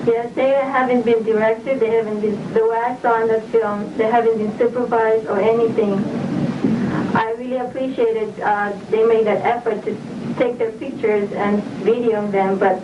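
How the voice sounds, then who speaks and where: Middle-aged woman, halting replies, echoing room, muffled videotape. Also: fairly high pitch, fairly even intonation. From Philippines. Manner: halting, short answers with soft laughs. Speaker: interviewee. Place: room inside a residential compound building. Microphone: camcorder microphone some distance away. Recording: distant, echoey, hissy old videotape.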